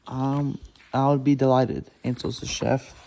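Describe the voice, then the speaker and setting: Slow, mellow-voiced man reading haltingly. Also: mid-range voice, level tone, gravelly, slight background noise, poor audio. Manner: stumbling, laboured reading with self-corrections. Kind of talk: halting read-aloud of a children's storybook.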